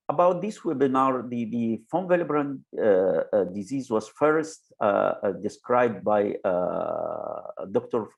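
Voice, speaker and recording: Halting webinar moderator, man, low and gravelly, lots of fillers. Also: darkish tone. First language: Arabic. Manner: halting, many "uh" fillers and restarts. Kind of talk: hesitant webinar presentation.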